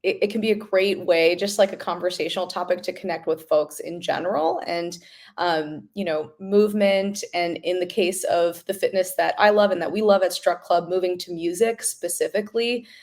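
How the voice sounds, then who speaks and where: Slightly low-voiced American woman, thoughtful. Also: moderate pace, darkish tone. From Los Angeles, United States. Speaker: podcast guest, company founder. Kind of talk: conversational interview answers.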